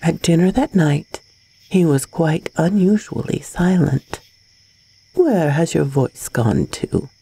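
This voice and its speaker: A woman reading a gothic bedtime story as scripted narration, in a husky, breathy voice with a measured, dramatic delivery. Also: sits low, mellow, poor audio.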